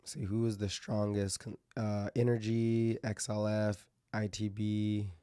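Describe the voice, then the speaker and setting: A man hosting casual market commentary, thinking aloud with fillers and restarts, his voice going a little hoarse as he clears his throat. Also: on the low side, level tone, a little slow, warm tone, no echo, studio quality.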